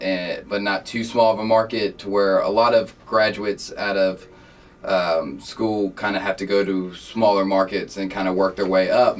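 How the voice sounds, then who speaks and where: A man who works as a local broadcaster, giving an unscripted interview answer. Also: on the low side. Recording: somewhat distant from the microphone.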